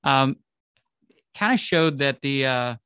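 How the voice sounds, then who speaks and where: A man's voice: medium pitch, lively intonation, slow pace, pushed and bright, clear, resonant tone, dry recording. Man hosting a podcast, dry close sound. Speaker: podcast host interviewing a guest. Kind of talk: conversational podcast interview.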